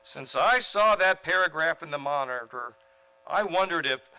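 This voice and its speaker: Man acting a bright-voiced, shy, earnest gentleman. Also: on the high side, animated, moderate pace, clear, resonant tone, low-quality recording. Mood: earnest, hesitant. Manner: earnest, hesitant, polite. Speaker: actor in a community radio play. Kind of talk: old-time radio comedy acting.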